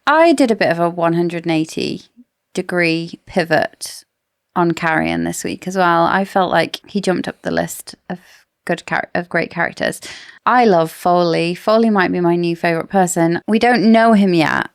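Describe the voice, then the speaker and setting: Low-pitched, slightly creaky woman chatting. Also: normal speed. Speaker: podcast co-host. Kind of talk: casual book discussion chat.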